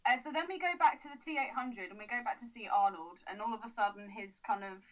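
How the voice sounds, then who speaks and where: A woman's voice: mid-range voice, fast talker, muffled recording. A young English woman co-hosting a podcast, talking bluntly and swearing freely in casual chat. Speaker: podcast co-host.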